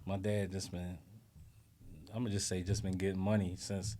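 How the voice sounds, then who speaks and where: A man's voice: deep voice, level tone, moderate pace, dark tone. A man with a Philadelphia accent talking casually as an interview guest, in slangy speech peppered with "you know" tags. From South Philadelphia, US. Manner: slangy, frequent "you know what I'm saying".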